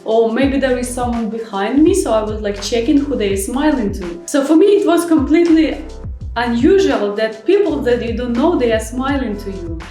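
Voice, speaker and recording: Young woman, Ukrainian accent, fairly high voice, frank chatty vlog, echoey room, soft music behind. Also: lively intonation, normal speed. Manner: chatty, frank, non-native grammar with many fillers. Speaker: vlogger. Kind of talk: casual vlog monologue.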